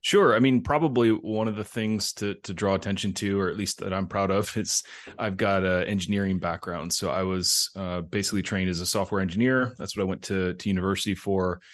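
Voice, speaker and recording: A man's voice: sits low, fairly even intonation, brisk. A middle-aged man, a tech executive and interview guest, explaining things conversationally.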